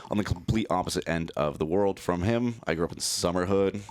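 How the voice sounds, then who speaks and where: A man born in Mexico and raised in Las Vegas, a podcast guest, speaking haltingly with many ums. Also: sits low, no echo. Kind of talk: casual interview chat.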